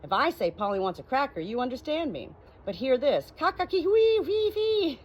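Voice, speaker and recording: A woman voicing a proud, bossy female parrot in a high, insistent, instructive voice for a storybook. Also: very animated, moderate pace, muffled recording, a little hiss or hum.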